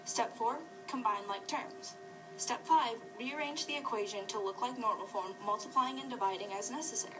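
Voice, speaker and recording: A woman's voice: a little high, moderate pace, over music. Young woman teaching math, somewhat distant. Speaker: student presenter in a puppet show. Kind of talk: scripted math lesson narration. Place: classroom. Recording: distant classroom camera.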